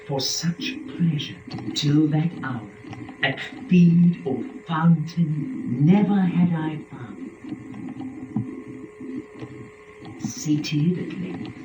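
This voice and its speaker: A man playing a sly, flattering serpent in a dramatic verse reading, drawing out each phrase in a declamatory way. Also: on the high side, background noise. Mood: grand, wondering. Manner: declamatory, drawn-out, savouring phrases. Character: flattering, sly serpent tempter from Paradise Lost. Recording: dull, echoey, distant stage recording. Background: music.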